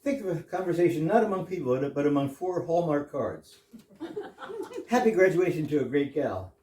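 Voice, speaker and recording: A man delivers a witty prepared talk to an audience. His fairly high voice moves animatedly up and down, recorded from a distance in a roomy hall. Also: animated, moderate pace, a little mellow. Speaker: guest introducer of the play. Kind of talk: humorous prepared lecture to an audience. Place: indoor performance space with audience. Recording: echoey room, distant microphone.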